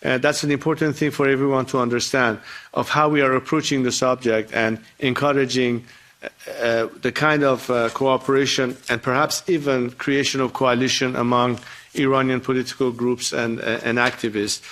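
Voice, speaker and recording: A man speaking as a guest at a formal event, answering a question in a measured, deliberate way with frequent hesitations. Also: medium pitch, flat delivery, a bit bright. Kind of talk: spontaneous formal remarks.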